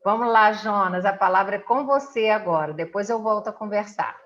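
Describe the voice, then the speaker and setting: A woman's voice: medium pitch, fairly quick, fairly bright tone, a little hiss or hum. Woman interviewer, dull lo-fi sound. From Brazil. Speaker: interviewer and host. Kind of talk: conversational interview hosting. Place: indoors at home.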